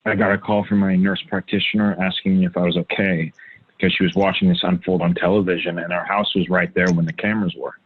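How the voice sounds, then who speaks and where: Phone-like audio, actor as quiet husband, deep male voice, husky, sober documentary theater testimony. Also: level tone, quick pace. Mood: sober recollection. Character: quiet husband recalling a shattered routine morning. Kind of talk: dramatic reading of documentary play testimony. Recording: tinny phone-like video call audio.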